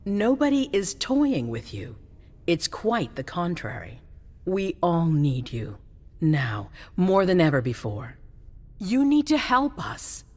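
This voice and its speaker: An actress playing a commanding but anxious female leader in a video game cutscene, insistent and measured. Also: fairly low pitch, wildly swooping pitch, darkish tone, exaggerated voice. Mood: persuasive. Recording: long reverberant hall echo.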